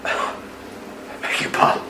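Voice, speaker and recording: An actor playing a guilt-ridden, middle-aged ex-serviceman in a radio play, halting and full of self-reproach. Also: sharp tone, heavily acted. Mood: shocked, anguished. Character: guilt-ridden ex-serviceman husband, injured Cold War test veteran. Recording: roomy, distant mic, faint background noise.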